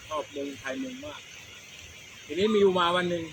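A man's voice: sits high, animated, a little fast, noisy background. A middle-aged man, telling comic stories casually as a show host, chatty, repeating words and using crude slang. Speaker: host of a comedy storytelling show.

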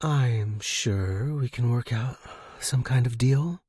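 A man's voice: medium pitch, takes it slowly, a little mellow, slightly rough, no echo, clean studio sound. A man voicing a scheming, coaxing female space pirate in an audio story. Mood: coaxing, bargaining.

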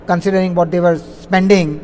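A man with a notably high voice speaks from a conference stage, fielding questions. He is wordy and leans on filler phrases as he explains. There is a faint layer of live-event noise behind him. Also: fairly even intonation, fairly quick, darkish tone. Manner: wordy, repeats "like, you know" as a verbal habit. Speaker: conference speaker, software implementation consultant. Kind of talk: answering audience questions at a conference. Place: on stage in a large conference hall.

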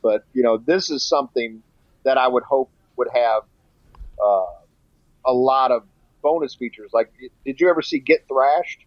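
A man talks casually as a podcast reviewer, at a middling pitch. He rambles and swears bluntly. The recording is dry and close, a little dull on the top end. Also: normal speed, no room sound. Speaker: podcast co-host reviewing a music documentary. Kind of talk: casual chat. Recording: slightly dull, lo-fi podcast audio.

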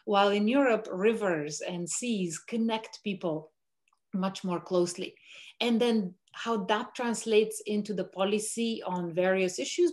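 A middle-aged woman from Bulgaria, a professor answering interview questions over a video call from a home room. She talks in a measured, thoughtful way, pausing on fillers as she builds her points. Her pitch sits in the middle range. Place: home room with window blinds.

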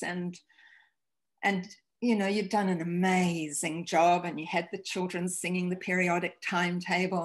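A woman in her sixties from New Zealand, a school principal telling stories in a video-call interview, halting and restarting. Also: lowish voice. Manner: warm storytelling, halting restarts. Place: home room, indoors. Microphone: video call webcam.

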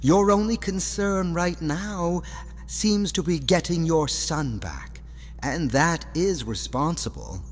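A man's voice: on the high side, animated, slow pace, a little hiss or hum. An actor playing a sly, elderly mad professor in an audio drama, theatrical and insinuating as he coaxes. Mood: coaxing. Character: sinister elderly male mad professor, sly, coaxing manipulator. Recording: long reverb, music underneath.

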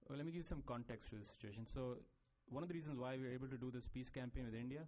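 A man's voice: mid-range voice, steady tone, rapid-fire, darkish tone. A man, speaking as a panelist and explaining at length, with frequent fillers and restarts. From Pakistan. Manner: discursive, many fillers and restarts. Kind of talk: panel discussion. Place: conference panel stage.

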